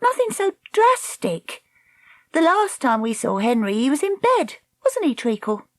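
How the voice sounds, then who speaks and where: A woman's voice: sits high, very animated, unhurried, dry recording, voice pushed up. A woman reader voicing a bold, proud young girl in a storybook, speaking assertively and challengingly. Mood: bold, cheeky.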